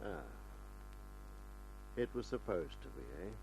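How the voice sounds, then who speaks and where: Sarcastic inspector: stern, menacing, fairly low, animated, old-time radio drama. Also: male voice, unhurried, breathy edge, full character voice, low-quality recording. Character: skeptical, threatening male police inspector. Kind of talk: mid-century radio crime drama acting. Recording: old radio recording with music.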